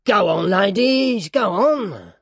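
A malicious storybook dwarf, pitched high and full of gleeful mockery. He lays on false courtesy and stretches his words to taunt. The sound is close and dry. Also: expressive, gravelly, fairly bright tone, slightly rough, dry recording, full character voice. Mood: gleeful, mocking. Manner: mock-courteous, taunting, drawn-out emphatic phrasing. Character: grotesque malicious dwarf husband, gleefully mocking.

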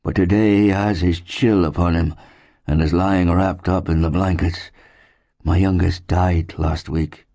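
Breathless, worn-out sick settler. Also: male voice, deep voice, fairly even intonation, gravelly, warm tone. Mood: mournful. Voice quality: faint, breathless. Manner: slow, labored, grim. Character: sick, worn-out male settler, anxious and gloomy.

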